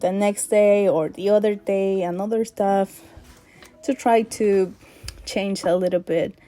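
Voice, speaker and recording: Slow, soft-spoken woman with an accent. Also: medium pitch, steady tone, soft background music. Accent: non-native English accent. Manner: hesitant, many fillers, soft laughs. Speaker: art vlogger. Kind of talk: casual sketchbook vlog chat.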